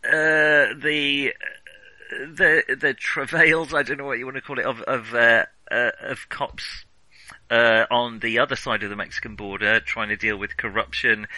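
Casting: male film podcast host, very bright and forward voice, halting and digressive, recorded dry and close. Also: medium pitch, dry recording, low-quality recording. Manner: hesitant, rambling, self-interrupting. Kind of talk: casual film discussion.

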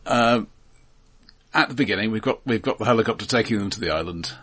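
A man's voice: fairly low pitch, fast talker, a bit bright. A man co-hosting a casual film podcast, talking in a pedantic, explanatory way and often stammering and repeating words as he goes.

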